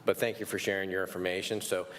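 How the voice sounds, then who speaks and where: A man's voice: sits low, little pitch movement, fast talker, a bit bright, a little hiss or hum. A man moderating a business panel in a meeting room, talking in a chatty, conversational way.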